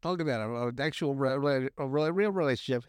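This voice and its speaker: A man co-hosting a podcast who keeps cutting in with crude jokes and plenty of swearing. He speaks at a middle pitch. The sound is dry and close up. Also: fast talker, clean tone, dry recording. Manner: crude joking interjections, swears often. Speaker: podcast co-host. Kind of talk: casual podcast banter.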